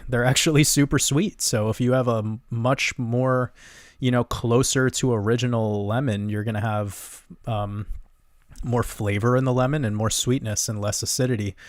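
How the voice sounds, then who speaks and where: Gentle-voiced young man chatting live. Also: mid-range voice, dry recording, clean studio sound. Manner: relaxed, rambling, laughs easily. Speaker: livestream host. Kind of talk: casual live chat answering viewer comments.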